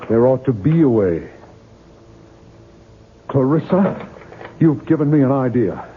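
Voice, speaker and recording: Irritable novelist, tinny old radio. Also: male voice, medium pitch, very animated, unhurried, slight background noise. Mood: weary. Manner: curt, weary, grumbling. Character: irritable, guilt-ridden male novelist. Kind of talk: 1970s radio drama acting. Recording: muffled phone-like vintage radio recording.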